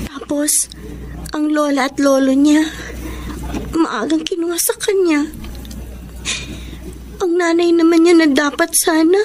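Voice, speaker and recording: An actress playing a kind, loyal young woman in a Filipino radio drama, speaking gently and sympathetically with a Filipino accent. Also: sits high, slight background noise. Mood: sad, pitying. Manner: gentle, sympathetic, polite to elders.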